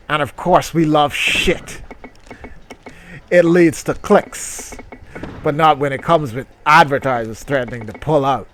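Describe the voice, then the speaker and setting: A man's voice: fairly high pitch, fairly bright tone, a little hiss or hum. A man voicing a polite, firm and earnest female employee in a story reading. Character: assertive female employee asking her boss for promotion.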